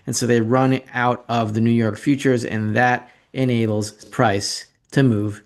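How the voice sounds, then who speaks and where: Guy doing financial slide commentary, mid pitched voice, sardonic and opinionated, repeats himself to make a point. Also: fairly even intonation, measured pace. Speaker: financial commentator and show host.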